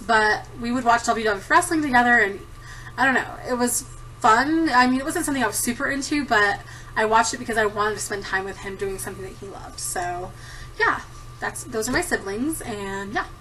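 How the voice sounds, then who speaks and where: Bright, chatty woman in her thirties. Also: fairly high pitch, brisk, far from the mic, slight background noise, rough recording. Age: 30s. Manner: chatty, giggly, rambling with many ums. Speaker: vlogger answering a tag. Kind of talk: casual chat to camera. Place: home room at a desktop computer. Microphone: computer camera mic.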